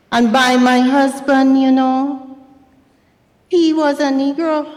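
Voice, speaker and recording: A woman playing a wronged, defiant Grenadian mother in a theatrical reading, speaking deliberately and repeating phrases like an incantation. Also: fairly high pitch. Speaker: reader in a dramatized stage reading. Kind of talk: slow theatrical dramatic reading. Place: university recital hall stage. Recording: long hall reverb.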